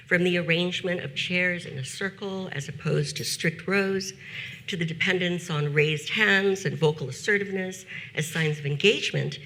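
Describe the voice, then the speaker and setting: A woman in her fifties speaks at a talk with a bright, forward tone and a gravelly edge. Her delivery is deliberate, slowing down to weigh key phrases. She sounds like a practiced teacher addressing an audience. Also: sits low. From United States. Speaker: teacher giving a keynote talk. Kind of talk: prepared conference talk.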